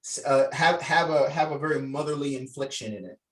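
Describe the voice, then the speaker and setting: A man casually directing a script read over a video call, halting and repeating his phrases as he gives instructions. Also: mid-range voice, fairly bright tone. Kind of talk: casual, jokey group direction. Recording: distant-sounding video call audio.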